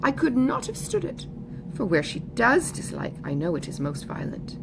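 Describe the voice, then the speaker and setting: Gushing young gentlewoman for an audiobook, played by a woman: high, animated, chatty, smugly sweet. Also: normal speed, noisy background. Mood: gushing, confiding. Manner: effusive, emphatic, ungrammatical genteel chatter. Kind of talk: audiobook character dialogue.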